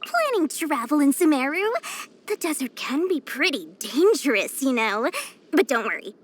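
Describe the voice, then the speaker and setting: A woman's voice: high voice, very animated, moderate pace. A woman voicing a small, cheerful, greedy young female merchant for a video game, with theatrical, sing-song sales patter. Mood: confident, salesy. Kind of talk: animated video game voice acting.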